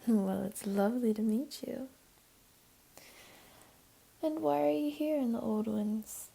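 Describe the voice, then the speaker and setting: A woman voicing a flirty, teasing, comforting jaguar beastling in an intimate ASMR roleplay, speaking softly and coaxingly in a half-whisper. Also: fairly high pitch, slow pace, creaky, breathy edge, full character voice. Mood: playful, warm.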